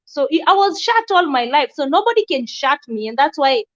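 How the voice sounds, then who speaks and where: Blunt high-voiced woman, dull recording. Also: sing-song, a little fast, a bit bright. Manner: blunt, outspoken, emphatic. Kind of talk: casual discussion.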